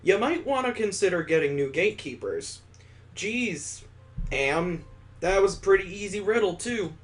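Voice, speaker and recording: Man voicing a playful, higher-pitched teasing mom. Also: expressive, moderate pace. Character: playful, teasing mother chiding her old friend.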